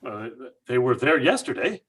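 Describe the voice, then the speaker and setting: Husky-voiced skeptical dad played by a man, brash and dismissive, for an old-time radio play. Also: mid-range voice, very animated, moderate pace, a bit bright. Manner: brash, dismissive, stammering fillers. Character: blustering skeptical father, middle-aged house owner.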